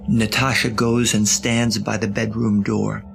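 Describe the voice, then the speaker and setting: A man narrating a scripted audio drama with deliberate, suspenseful storytelling and wry touches. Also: lowish voice, flat delivery, vocal fry, fairly bright tone, soft background music.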